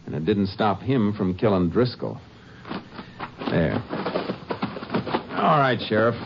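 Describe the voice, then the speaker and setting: Calm, firm lawman. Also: on the low side, slow, vocal fry, exaggerated voice. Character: steady male Texas Ranger lawman. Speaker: lead and narrator. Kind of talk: 1950s radio drama acting. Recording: lo-fi old radio recording with hiss.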